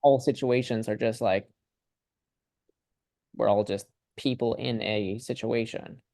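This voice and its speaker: Young man, gravelly fry, soft mellow tone, casual rambling student talk, tentative, dry video call sound. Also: mid-range voice, fairly even intonation, dry recording. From Ukraine. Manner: tentative, halting, thinking aloud. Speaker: student in a class discussion. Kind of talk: casual, rambling group discussion.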